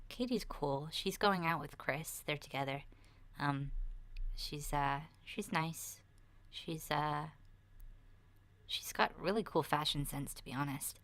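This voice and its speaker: A woman voicing a chatty, candid young girl surviving a zombie apocalypse in a soft, breathy, half-whispered ASMR roleplay, talking in a hesitant, rambling way. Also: sits low. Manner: hesitant, rambling, youthful casual phrasing.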